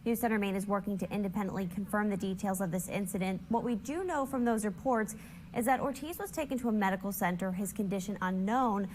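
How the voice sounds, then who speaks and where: A female local TV anchor opens the newscast in a measured, formal delivery, with faint ambience behind her. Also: mid-range voice, a little mellow, a little hiss or hum, rough recording. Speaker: news anchor. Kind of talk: broadcast news reading.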